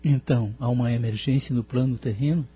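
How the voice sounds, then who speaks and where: A man's voice: mid-range voice, fairly even intonation, brisk, warm tone, slight background noise, natural voice. A man with a Brazilian accent playing an earnest, compassionate scholarly spirit in an audio drama, formal and reflective.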